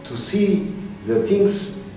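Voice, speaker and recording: A man's voice: high voice, lively intonation, extremely slow, gravelly, dark tone, soft background music. A man from Ethiopia answering interview questions hesitantly, searching for his words. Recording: distant mic in a very echoey room.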